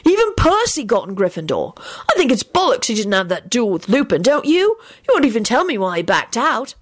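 A woman voicing an excitable, boastful young wizard boy for an audiobook. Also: a little high, fairly quick, no echo, voice pushed up. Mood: earnest. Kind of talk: audiobook character dialogue.

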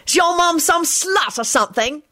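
A woman's voice: medium pitch, very animated, moderate pace, sharp tone, husky, voice pushed up, heavily acted. A woman voicing a mean, cowardly young bully in a storybook, mocking and nasty.

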